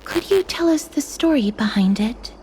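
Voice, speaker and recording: A woman's voice: sits high, animated, background noise. A woman playing a polite, composed young companion in a video game, speaking in an earnest, inquisitive and courteous way. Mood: polite, inquiring. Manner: courteous, measured. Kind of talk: video game character acting.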